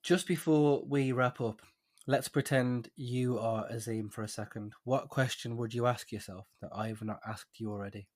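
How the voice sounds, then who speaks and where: A man's voice: mid-range voice, steady tone, a little mellow. Man hosting a casual podcast. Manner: friendly, quick affirmations and laughs. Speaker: podcast host.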